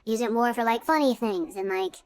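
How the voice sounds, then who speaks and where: A man's voice: very high voice, a little fast, smooth, pitched above the performer's own voice. A man voicing a girlish anime character through an AI voice changer, talking casually in a tutorial. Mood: casual, easygoing.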